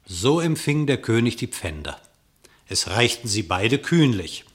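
A man narrating a classic radio play in literary verse, telling the story in a measured, formal way. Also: mid-range voice, very animated.

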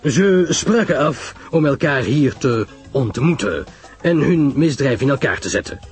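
A man voicing a grave, self-assured older detective who explains his reasoning in a measured, emphatic way, in a radio story. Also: medium pitch, lively intonation, faint music behind, natural voice. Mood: scornful.

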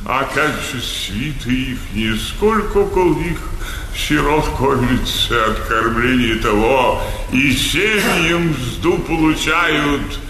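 An actor playing a pompous old servile majordomo in a florid, theatrical stage comedy manner. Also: male voice, mid-range voice, slow. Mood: unctuous, coy. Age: older. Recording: echoey theatre stage recording, faint noise.

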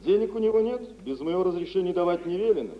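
An actor playing a boisterous, self-assured gentleman shipowner in theatrical mid-century radio drama, telling things in an expansive, swaggering way. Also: sits high, expressive, quick pace. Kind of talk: mid-century Soviet stage acting for radio. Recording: muffled, noisy 1950s radio recording, reverberant hall.

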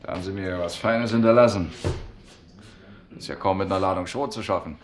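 A man's voice: sits low, a little fast, background noise, close to an everyday voice. An actor playing a casual, wry man in a naturalistic radio play, talking in a colloquial, offhand way. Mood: casual, joking. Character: casual, wry, sporty man hiding unemployment. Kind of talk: naturalistic radio drama acting.

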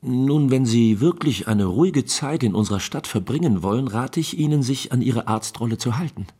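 An actor playing an affable, talkative captain of the imperial guard in a German radio drama, chatty, courteous and persuasive, with a husky voice. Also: male voice, medium pitch, expressive, moderate pace, warm tone.

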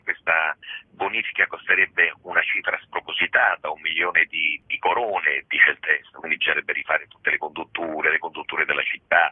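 A man's voice: on the low side, breathy edge, no echo. A man, a theatre director and actor, talking as a radio interview guest and searching thoughtfully for his words as he explains. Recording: tinny phone line.

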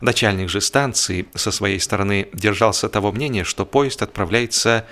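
A man narrating a humorous literary story in an expressive, measured, mock-solemn way. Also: fairly low pitch. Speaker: audiobook narrator.

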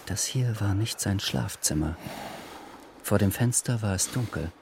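A man's voice: lowish voice, lively intonation, unhurried, noisy background. A man narrating a literary radio drama from a script. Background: music.

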